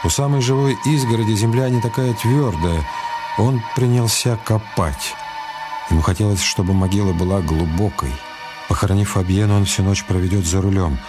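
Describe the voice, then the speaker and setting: A man's voice: lowish voice, normal speed, lightly acted. A man narrating a radio crime thriller as a grave storyteller. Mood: measured. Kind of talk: dramatic radio play narration. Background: music under the voice.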